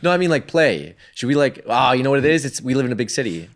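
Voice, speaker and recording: A man's voice: medium pitch, sing-song, fast talker. A man in his thirties with a Canadian accent, chatting casually as a podcast co-host. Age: 30s. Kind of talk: casual chat.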